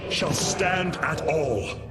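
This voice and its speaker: A solemn, earnest alien leader from a video game. He speaks in slow, formal oratory that rises and falls widely. Loud dramatic music runs underneath. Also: fairly high pitch, animated. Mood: earnest appeal. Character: noble, solemn alien high leader, male, earnest and resolute. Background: loud game soundtrack music.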